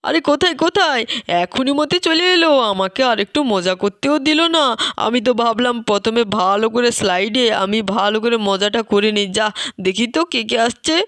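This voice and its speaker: An eager, cheerful village father from a doll-play tale, acted out by a storyteller. He talks in a chatty, proud way and keeps seeking approval. The sound is dry and close, at a middling pitch. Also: brisk, a bit bright, dry recording, natural voice. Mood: mock disappointed. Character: Bhim, cheerful village dad showing off his homemade slide.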